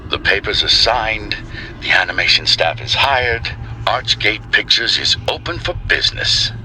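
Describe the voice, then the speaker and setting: A deep but sharply bright male voice plays a brooding studio owner in a game audio log. He is measured, theatrical and reflective. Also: lively intonation, a little hiss or hum, heavily acted, rough recording. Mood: proud, wistful. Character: solemn brooding studio owner, nostalgic and self-assured.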